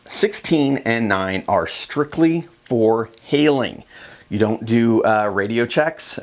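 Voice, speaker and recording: A middle-aged man with an American accent gives informal, conversational instruction, unscripted and with hesitant pauses. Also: on the low side. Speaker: fishing show host and instructor.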